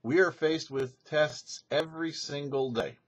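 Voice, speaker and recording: A man speaking as a rabbi and show host, giving a scripted religious lesson in an emphatic, didactic way with deliberate phrasing and rhetorical questions. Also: fairly high pitch. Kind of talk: scripted religious teaching, sermon.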